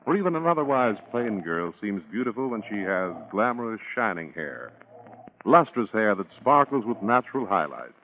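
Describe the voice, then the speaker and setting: Old-time radio adman, lively and muffled. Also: fairly low pitch, normal speed, slight background noise. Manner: polished, emphatic sales delivery. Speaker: radio announcer. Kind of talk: 1940s radio advertising announcing. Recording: muffled 1940s radio broadcast with music. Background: music.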